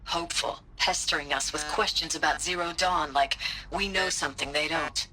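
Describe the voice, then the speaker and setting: An actor voicing a weary, grimly reflective soldier recording battle logs in a video game, somber and matter-of-fact. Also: male voice, fairly high pitch, moderate pace, pushed and bright, soft background music, rough recording. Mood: weary, disillusioned. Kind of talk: dramatic video game monologue.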